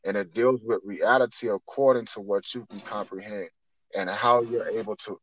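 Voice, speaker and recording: A man's voice: lowish voice, fairly even intonation, moderate pace, fairly bright tone, low-quality recording. A man in his twenties speaking earnestly on spiritual matters in conversation, often repeating and rephrasing as he builds a point. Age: 20s.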